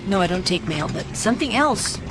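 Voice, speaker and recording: A woman's voice: mid-range voice, big pitch swings, fairly quick, over music, natural voice. An actress playing a chatty, gossipy village postwoman in a crime audio drama. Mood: brisk gossip. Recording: loud car engine noise.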